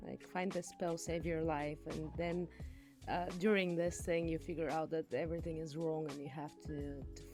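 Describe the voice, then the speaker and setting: A woman with a somewhat low voice hosts a conversational interview. Soft music sits behind her speech. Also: steady tone, moderate pace. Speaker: interview host.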